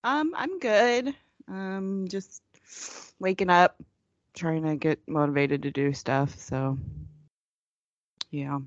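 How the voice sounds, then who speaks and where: A woman's voice: on the low side, takes it slowly, long pauses, no echo, highs cut off. A woman co-hosting a podcast in casual chat, giggling often and drawling her fillers. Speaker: podcast co-host.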